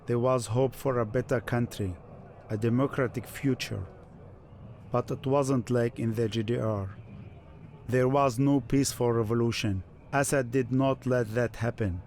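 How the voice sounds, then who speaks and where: A man's voice: medium pitch, steady tone, unhurried, a little mellow, slight background noise. A man from Syria giving a scripted first-person narration.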